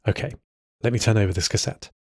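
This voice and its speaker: Husky man, very dry recording. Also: on the low side, quick pace, darkish tone, no room sound. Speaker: podcast writer announcing to listeners. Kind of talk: friendly scripted podcast announcement.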